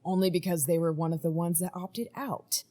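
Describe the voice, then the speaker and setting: A woman's voice: on the low side, little pitch movement, brisk, mellow, natural voice. A woman playing a weary, commanding research team leader in a naturalistic audio drama, matter-of-fact with some hesitation. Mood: weary, businesslike.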